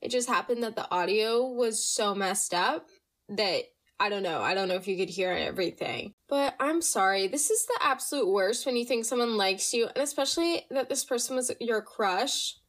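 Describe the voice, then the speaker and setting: A woman's voice: on the high side, normal speed. A young woman hosting a podcast, chatting casually and reading listener stories, her voice slightly nasal from allergies. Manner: chatty, animated, reads listener stories aloud. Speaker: podcast host. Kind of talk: casual storytime chat.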